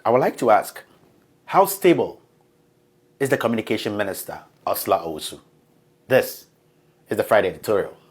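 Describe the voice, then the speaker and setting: A man speaks in a slightly low voice, hoarse from a bout of flu. He delivers a political editorial, emphatic and accusatory, and pushes harder as his points stack up. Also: very animated, fairly quick, long pauses. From Ghana. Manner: emphatic, accusatory, building in force. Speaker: political commentator. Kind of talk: scripted editorial monologue.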